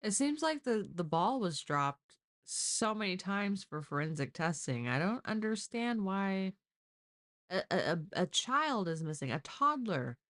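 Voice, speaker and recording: A woman's voice: mid-range voice, big pitch swings, measured pace, fairly bright tone. A woman talking casually and informally, as on a live true crime stream, with exasperated asides. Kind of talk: casual live case discussion.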